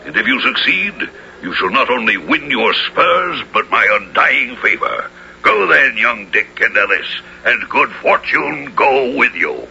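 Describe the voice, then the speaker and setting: Bright, forceful, commanding royal duke. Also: male voice, mid-range voice, big pitch swings, unhurried, vocal fry, exaggerated voice. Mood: resolute, commanding. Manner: lordly, archaic, declamatory speech. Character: commanding royal duke leading troops, shrewd and magnanimous. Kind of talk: 1970s radio drama acting. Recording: noisy, muffled old radio broadcast.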